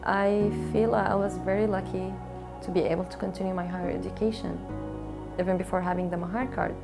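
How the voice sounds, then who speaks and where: Female, 20s, from Syria. Thoughtful, searching documentary interviewee, mid-range voice, clear music bed. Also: mid-range voice, steady tone, fairly quick. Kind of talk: personal interview, recollection. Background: background music.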